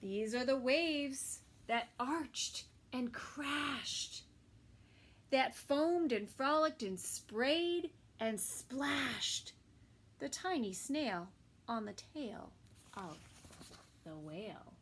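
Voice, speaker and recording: A woman reads a children's picture book in a fairly high voice that swings expressively up and down. She takes it very slowly, with sing-song phrasing and soft asides to her young listeners. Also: lively intonation, slightly rough. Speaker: children's story time reader. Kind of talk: expressive picture-book read-aloud for toddlers.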